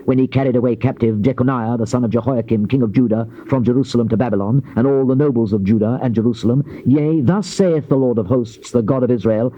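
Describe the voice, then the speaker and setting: A man narrating a dramatized Bible reading in a solemn, measured, emphatic oratorical delivery. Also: medium pitch, no room sound. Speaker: scripture narrator. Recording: dull noisy old cassette tape.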